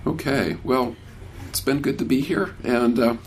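A man's voice: medium pitch, a little slow, a little hiss or hum. A man in his sixties speaking casually as a workshop speaker, answering audience questions with frequent restarts. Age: 60s. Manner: warm, conversational, many restarts.

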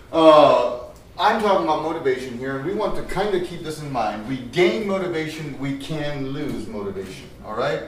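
An older man leading a teacher workshop, chatty and quick to laugh, his voice a little strained from a cough. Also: mid-range voice, slight background noise. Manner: chatty, laughs often, restarts. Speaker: teacher trainer. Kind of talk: workshop talk with audience. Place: large echoey room with audience. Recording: very echoey room, voice from far off.

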